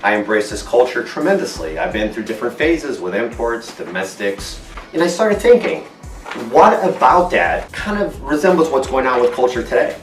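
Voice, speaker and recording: A man's voice: medium pitch, expressive, moderate pace, reverberant, recorded from a distance, faint music behind. A man from Portugal, a car vlogger, talking bluntly and swearing freely in a casual monologue at home in front of a green screen. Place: inside his house, in front of a green screen.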